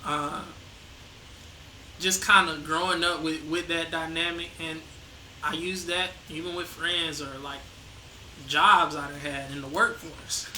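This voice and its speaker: A man's voice: on the high side, fairly even intonation, measured pace, bright, forceful tone. A young man talking casually as a guest, hesitant and laid-back, restarting his sentences often. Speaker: guest, the host's son. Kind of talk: casual conversation. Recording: echoey room with faint noise.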